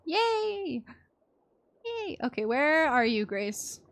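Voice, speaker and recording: A young woman live-streaming a game, chatting casually with an expressive, playful manner. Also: sits high, very slow, faint music behind. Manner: expressive, playful, exclaims and chants little words.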